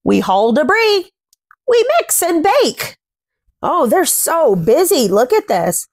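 A woman's voice: high voice, big pitch swings, slow pace, no room sound, clean studio sound. A young woman reading a picture book aloud to small children, expressively and with sing-song phrasing, chatting about it as she goes. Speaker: children's read-aloud host. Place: small indoor room at home.

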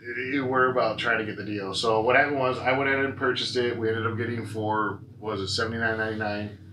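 A man's voice: medium pitch, flat delivery, fast talker, sharp tone. A young American man chatting casually as a vlogger, explaining things in a rambling way. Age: young adult. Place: small living room, on a couch. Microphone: camera mic a few feet away. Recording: roomy echo, noisy camera audio.

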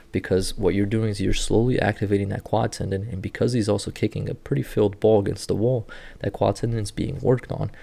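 A man in his thirties, a native Portuguese speaker, who works with rehab athletes and calmly walks viewers through training clips, recorded indoors on a webcam. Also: on the low side, creaky. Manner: steady, instructive walk-through of clips. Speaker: sports rehab clinician. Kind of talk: explanatory video commentary. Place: indoor room.

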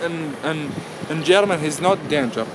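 A man with an Iraqi accent speaking broken, halting English in an unscripted street interview on a handheld camera. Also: on the high side, noisy background. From Iraq. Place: outdoors at night in a city square. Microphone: handheld camera at arm's length.

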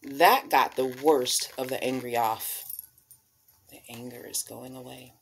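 A woman in her thirties reading aloud to children as a storyteller, with deliberate, dramatic emphasis on key words. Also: a bass voice, steady tone, a little hiss or hum, low-quality recording. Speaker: storyteller reading a picture book. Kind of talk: expressive read-aloud for children. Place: small living room, indoors.

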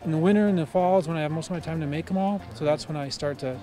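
A man's voice: a little high, very fast. A young man, an art fair exhibitor, rambling through casual interview answers outdoors. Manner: rambling, many fillers.

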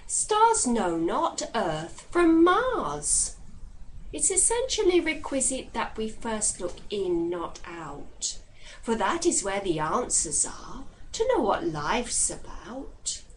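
A woman in her thirties reading poetry aloud in a deliberate, expressive way with dramatic pauses, recorded in a living room. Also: on the high side, low-quality recording. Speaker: poetry reader. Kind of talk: poetry recitation. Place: living room, seated in armchair. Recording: clear background room noise.

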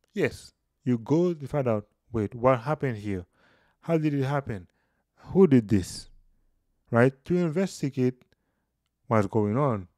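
Man, 30s, Bible teacher, casual explainer, mid pitch, dry booth sound. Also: no room sound. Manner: explanatory, animated hand gestures. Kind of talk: casual Bible study video. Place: small room indoors. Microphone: studio mic on boom, headphones.

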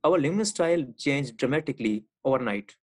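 A man from Lahore, a visual artist, reading a measured statement about his artwork. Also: medium pitch, animated, soft background music. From Lahore, Pakistan. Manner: measured, read aloud.

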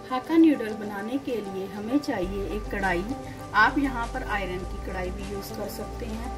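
A woman's voice: medium pitch, moderate pace, music in the background. A woman hosting a cooking channel, giving a step-by-step recipe voice-over in short, instructive phrases.

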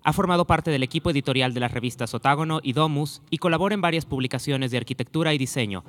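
Male presenter, brisk formal read of a speaker introduction. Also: fairly high pitch, fairly bright tone. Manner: reading a speaker bio. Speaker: event presenter.

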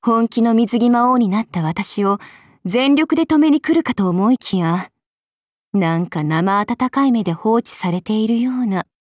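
A woman's voice: on the high side, lively intonation, measured pace, darkish tone, dry recording, clean studio sound. A woman voicing an aloof, languid adult demon goddess in an anime game, composed, polite and faintly sardonic. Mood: cool, musing. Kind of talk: Japanese anime game character lines.

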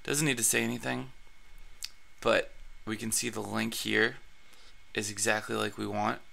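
A man hosting a screen-recorded blogging tutorial, his voice raspy from allergies, talking casually with plenty of fillers and restarts. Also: fairly low pitch, level tone, normal speed, bright, forceful tone.

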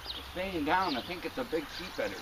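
A man filming himself fishing from a riverbank and talking casually about his catch, recorded on a camera mic outdoors with loud background noise. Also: a little high, fast talker. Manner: excited, exclaiming over a big fish. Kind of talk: casual fishing vlog talk. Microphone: camera mic from a distance. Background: outdoor ambience, birds.

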